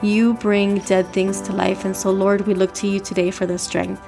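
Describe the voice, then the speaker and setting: A woman's voice: mid-range voice, flat delivery, normal speed, vocal fry. An American woman with a stuffy, slightly nasal voice hosts a devotional podcast, speaking conversationally. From Miami, Florida, USA. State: stuffy, congested nose. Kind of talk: conversational devotional talk and prayer. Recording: music bed behind the voice. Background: background music.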